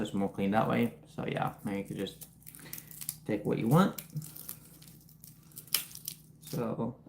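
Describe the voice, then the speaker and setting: Slow-talking man in an echoey kitchen. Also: medium pitch. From Ukraine. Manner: chatty, greets viewers and explains steps while chopping. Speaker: streamer cooking live. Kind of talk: casual cooking stream chat. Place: home kitchen. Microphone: phone. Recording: roomy kitchen with background noise.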